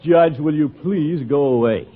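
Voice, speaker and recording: An American actor playing a pompous, flustered middle-aged water commissioner in a 1950s radio comedy, blustering and muttering in exasperation. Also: male voice, a little high, unhurried, a loud crowd, close to an everyday voice. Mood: irritated. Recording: tinny, noisy old radio broadcast.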